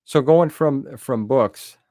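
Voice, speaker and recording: A man's voice: medium pitch, takes it slowly, warm tone, dry recording, clean studio sound. A middle-aged man hosting a podcast interview in a casual, chatty style. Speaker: podcast host. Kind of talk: casual chat.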